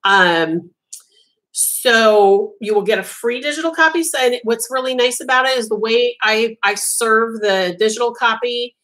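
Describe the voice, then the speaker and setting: Chatty woman with a high voice. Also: measured pace, a bit bright. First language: Italian. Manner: chatty and rambling, with frequent ums and restarts. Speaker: crochet designer hosting a live chat. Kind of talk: casual live-stream chat.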